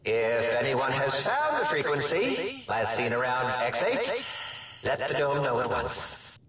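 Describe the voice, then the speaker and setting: An actor playing an odd male scientist who makes announcements in a video game, with a raspy voice. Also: medium pitch, sing-song, measured pace, fairly bright tone, slight background noise. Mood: official, measured.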